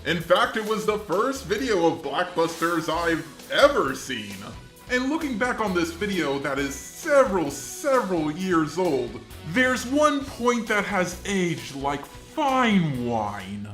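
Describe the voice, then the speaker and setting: A man's voice: high-pitched, sing-song, slow pace, fairly bright tone, reverberant, soft background music. A man hosting an internet commentary video, reading a scripted narration. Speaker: commentary channel host.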